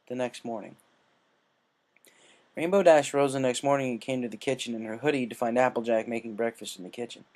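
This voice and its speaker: A man's voice: medium pitch, a little fast, darkish tone. A man reading a story aloud as scripted narration. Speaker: story reader. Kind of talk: scripted narration of fan fiction.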